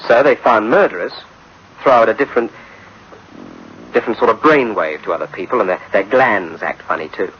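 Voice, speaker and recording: Husky, rambling police captain. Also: male voice, lowish voice, a little fast. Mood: folksy explaining. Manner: hesitant, repetitive, colloquial explaining. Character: folksy, matter-of-fact police captain explaining things. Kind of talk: 1960s-style radio drama acting. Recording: muffled old radio recording.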